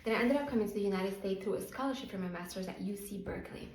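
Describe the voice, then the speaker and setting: Woman, Moroccan accent, mid-pitched voice, earnest and emphatic motivational coach, roomy sound, faint music behind. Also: racing, darkish tone, slight background noise. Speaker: motivational coach and channel host. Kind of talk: spoken-to-camera self-help talk.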